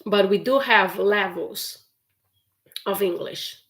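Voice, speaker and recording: A woman's voice: medium pitch, slow, a bit bright. A woman with a Brazilian accent, a native Portuguese speaker, answering questions as an English teacher in a chatty, encouraging live Q&A. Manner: encouraging, chatty, laughs often. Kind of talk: live stream Q&A.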